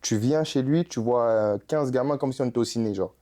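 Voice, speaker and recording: A young man from Congo chatting in an interview, telling stories in an animated, slangy way and laughing often. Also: medium pitch, fairly quick. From Republic of the Congo. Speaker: rap artist guest. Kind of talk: casual interview chat.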